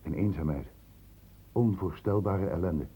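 An actor playing a shrewd, paternal doctor in a radio play, speaking in a measured, patronising, ironic way. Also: male voice, low voice, mellow, husky. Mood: grave lecturing. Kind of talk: radio play acting in Dutch. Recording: muffled, phone-like old radio recording.

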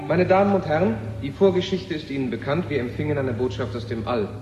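A man's voice: a little high, fast talker, dull audio, over loud music, close to an everyday voice. An actor playing a brooding, intense male physicist in a German radio drama from 1970. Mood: formal, lecturing. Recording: echoey hall, noisy old radio recording.

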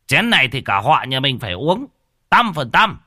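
A man voicing a rowdy old village man who leads drinking toasts, loud and bossy, with curses. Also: medium pitch, very animated, moderate pace, no echo, studio quality, voice pushed up, heavily acted. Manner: loud, bossy, cursing, shouting chants.

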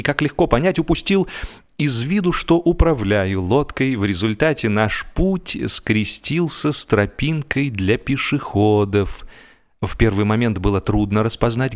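A man performing a genial, wry gentleman who tells a humorous story in a measured, theatrical, expressive way. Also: lowish voice, lightly acted. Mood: wry, mock-dignified. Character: genial, wry, musing gentleman narrator of a comic tale. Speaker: audiobook narrator and actor. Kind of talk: dramatized first-person humorous story narration. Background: music.

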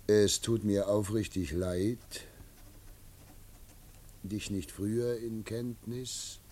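A lecturing old Russian governor. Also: male voice, lowish voice, darkish tone. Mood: quiet, deliberate. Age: older. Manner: measured, lecturing, emphatic. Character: old Russian provincial governor, authoritarian, paternal, convinced of order. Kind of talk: German radio drama acting.

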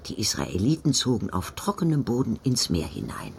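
A man's voice: lowish voice, wildly swooping pitch, moderate pace, vocal fry, exaggerated voice. A firm, pious old grandmother from a postwar village. The delivery swings widely and dramatically, as in an audio drama, over faint background noise. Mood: solemn, dramatic. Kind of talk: audio drama dialogue and Bible reading.

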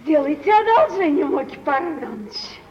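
Obsequious middle-aged mother, high voice, effusively polite, stagey 1940s radio drama, muffled old recording. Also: big pitch swings, normal speed. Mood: meek, agreeing. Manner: effusive, deferential, exaggerated politeness. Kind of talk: 1940s theatrical radio acting. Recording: dull, noisy vintage radio play recording.

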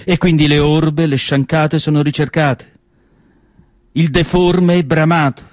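A man performing a theatrical literary monologue, declamatory, with deliberate phrasing and pauses. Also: on the high side, no echo. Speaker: stage actor reading literary prose. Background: music.

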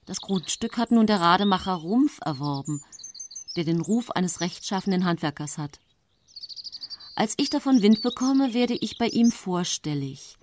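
An actress playing a cold, scheming woman poisoner who confesses her own case in a radio play, confiding and deliberate. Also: mid-range voice, lively intonation, lightly acted. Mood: cool, deliberate. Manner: confiding, deliberate, sometimes hissing in a whisper. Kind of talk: radio play first-person narration.

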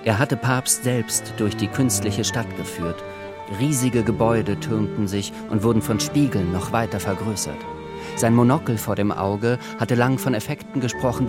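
A man narrates a literary radio drama. His voice is low, measured and reflective. Music runs clearly behind his words.

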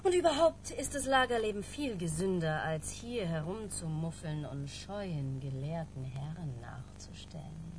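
A woman's voice: low-pitched, animated, measured pace, few pauses, background noise. An actress playing a middle-aged mother in a radio drama, flirtatious and gushing, with coy, theatrical, teasing delivery. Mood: airy, chatty.

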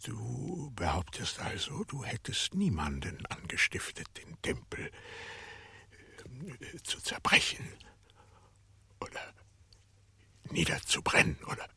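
An actor playing a weary, irascible middle-aged Roman governor in a radio drama, hoarse and half-whispering, with halting commands. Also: male voice, fairly low pitch, wildly swooping pitch, pushed and bright, no room sound, heavily acted. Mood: strained questioning. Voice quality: hoarse, breathy rasp.

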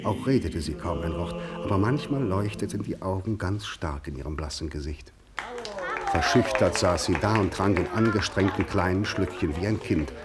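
Low-voiced male radio play narrator. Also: expressive, moderate pace, very noisy. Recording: loud music behind the voice.

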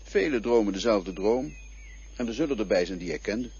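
A man playing a calm, cryptic male guide who speaks of the dead in a patient, lecturing way, in a radio play. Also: lowish voice, moderate pace, slightly rough, heavily acted. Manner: patient, lecturing, quietly mocking. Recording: dull lo-fi old radio recording.

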